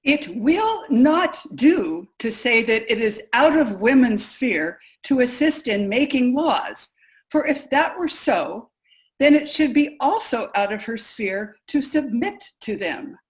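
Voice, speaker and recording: A woman's voice: fairly high pitch, expressive, takes it slowly, rough recording. A woman playing a firm nineteenth-century women's rights campaigner in a formal staged reading. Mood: firm, assured. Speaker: reader in a reader's theater.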